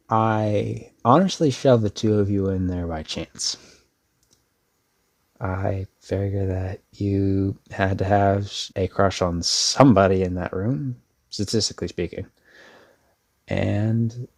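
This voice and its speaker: A man acting as an earnest young brother in an audio roleplay, his voice low and gravelly. He speaks slowly and intimately, with hesitant pauses, like someone confiding in a friend. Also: a little mellow. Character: protective older brother, a laid-back but sincere young man. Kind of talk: ASMR audio roleplay, intimate one-sided dialogue.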